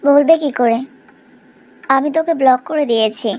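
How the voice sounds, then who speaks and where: A woman playing a gentle, heartbroken young woman in a cartoon drama, speaking softly and in a subdued way. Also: high voice, moderate pace, natural voice. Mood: hurt, subdued. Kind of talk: Bengali cartoon drama acting.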